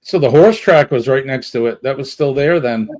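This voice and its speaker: A man's voice: mid-range voice, moderate pace, darkish tone, poor audio. A man in his sixties from New England co-hosting a casual live stream. He explains local things in an easy, conversational way and reads viewer comments aloud. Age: 60s.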